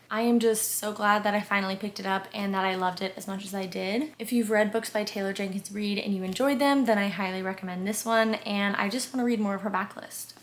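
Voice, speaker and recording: Woman book reviewer, voice going hoarse, chatty and eager, mid-range pitch. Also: fairly bright tone. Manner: chatty and enthusiastic, quick asides. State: hoarse, losing her voice. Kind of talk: casual monthly reading wrap-up.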